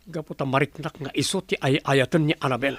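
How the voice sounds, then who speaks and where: A man's voice: mid-range voice, a little fast, no room sound, close to an everyday voice. A man voicing a jealous, short-tempered young businessman with an Ilocano accent. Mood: scheming. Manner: short-tempered, scolds his servant.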